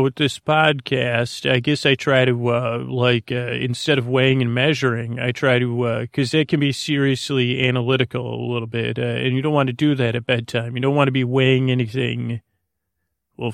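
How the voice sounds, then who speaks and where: A man hosting a sleep podcast in a meandering, halting, droning monologue full of tangents and repeats. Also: vocal fry, no echo, studio quality. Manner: droning, low-key. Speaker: podcast host.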